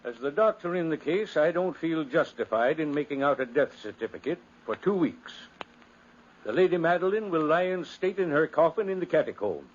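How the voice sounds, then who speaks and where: An actor playing a cautious, formal family doctor with a clinical, deliberate manner in a 1950s radio drama. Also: male voice, fairly high pitch, lively intonation. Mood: firm. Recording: muffled 1950s radio recording, faint noise.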